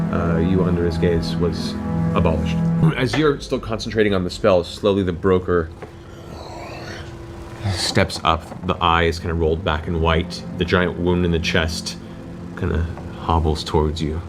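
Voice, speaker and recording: Guy running a tabletop fantasy game, deepish, descriptive, loud music behind him. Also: steady tone, moderate pace. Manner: descriptive, vivid storytelling with casual asides. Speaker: game master.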